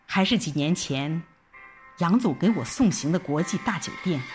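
Female audio drama narrator, lowish pitch, very varied intonation, telling a first-person story with faint music behind. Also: expressive, moderate pace, darkish tone.

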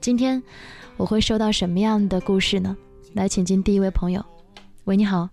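A woman hosting a radio call-in show, gentle and encouraging with her callers. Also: mid-range voice, steady tone, a little fast, vocal fry, faint music behind. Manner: gentle, encouraging, soft-spoken. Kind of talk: late-night radio call-in chat.

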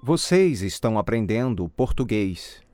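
A man reading model answers in a language course drill, slow and clearly enunciated. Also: lowish voice, big pitch swings, noisy background.

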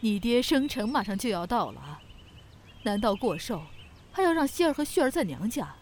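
A woman's voice: a little high, big pitch swings, slight background noise. A woman playing a bossy middle-aged mother in a Chinese period audio drama, scolding and giving orders. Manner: scolding, brisk commands.

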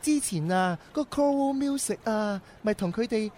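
An actress playing a gossipy, scornful office worker in a radio drama, speaking in a knowing, blunt way. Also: female voice, on the high side, lively intonation, moderate pace, warm tone. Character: gossipy, scornful woman working at an entertainment company. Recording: music behind. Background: music.

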